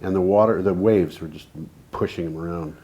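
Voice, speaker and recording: A man with a fairly low voice co-hosts a community TV chat about energy. He rambles through his stories, restarts sentences and lets them trail off quietly. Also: fairly quick, slightly rough, muffled recording. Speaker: co-host of an energy talk show. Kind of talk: casual studio chat.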